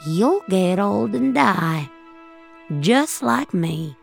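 A woman's voice: medium pitch, animated, extremely slow, vocal fry, faint music behind, heavily acted. A woman voicing a blunt, gloomy old country grandmother in an audio story. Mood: bitter, resigned. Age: older. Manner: folksy, blunt, fatalistic musing.

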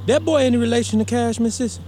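A man's voice: very high voice, racing, warm tone, soft background music. An actor playing a proud, plainspoken father with a Southern American accent in a historical audio drama. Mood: wary, bitter. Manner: folksy, plainspoken.

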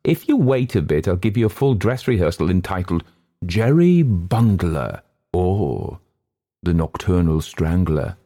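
A man voicing an eager, boastful gentleman amateur actor with a theatrical, cocky manner in a storybook reading. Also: low voice, sing-song, a little slow, full character voice.

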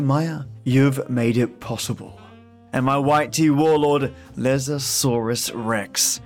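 A man hosting a podcast, narrating an outro in a dramatic, effusive way. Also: medium pitch. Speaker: podcast host. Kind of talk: scripted narration, show outro.

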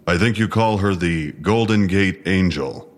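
Male detective for audio drama: very low voice, formal, clipped, drily sarcastic interviewer. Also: a little slow. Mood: formal, probing.

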